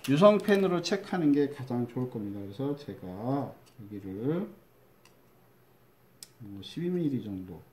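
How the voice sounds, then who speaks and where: A man's voice: medium pitch, sing-song, a little slow, dark tone, a little hiss or hum. A middle-aged man from Chungcheong with a Chungcheong Korean accent, demonstrating a recycled-materials craft step by step as a crafts teacher, chatty and repeating key words. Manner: chatty, repeats key words, drops to a mutter while measuring.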